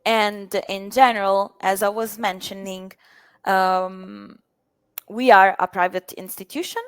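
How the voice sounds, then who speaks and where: A woman's voice: medium pitch, fairly even intonation, slow, clean tone, dry recording. A woman whose first language is Italian speaks as a university representative in an interview, hesitating often with fillers. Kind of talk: interview answers.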